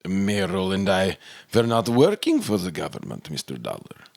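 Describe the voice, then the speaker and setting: A nervous professor in a detective radio play played by a man. His voice is low and dry, with lively swings, as he stammers politely and evasively. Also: moderate pace, vocal fry, dry recording, exaggerated voice. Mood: defensive. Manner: stammering, formal. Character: nervous, evasive male professor under suspicion. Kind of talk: old-time radio drama acting.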